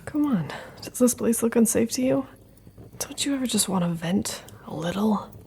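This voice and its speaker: A woman's voice: fairly high pitch, lively intonation, normal speed, vocal fry, dark tone, a little hiss or hum. A woman playing a jaded, defiant survivor among monsters in a naturalistic audio drama, speaking in a wry, blunt, dismissive way.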